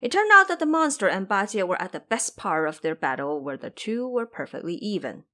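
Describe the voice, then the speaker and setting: Woman reading an adventure story, dramatic narrator, mid pitch, super animated intonation. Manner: expressive, measured storyteller delivery.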